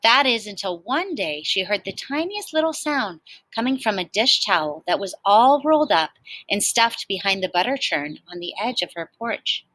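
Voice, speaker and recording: A woman reading a children's storybook aloud in an expressive, animated way, like a teacher talking to young listeners. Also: mid-range voice, normal speed, dry recording, low-quality recording.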